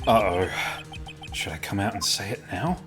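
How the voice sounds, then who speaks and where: A man's voice: fairly low pitch, normal speed, over music, lightly acted. A man playing an earnest, nervous young defense attorney. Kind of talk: video game fan dub acting.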